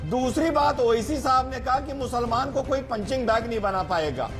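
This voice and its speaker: A man's voice: very high voice, normal speed. A man speaking as an advocate on a televised news debate panel, arguing in an emphatic, combative way. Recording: TV broadcast with music behind.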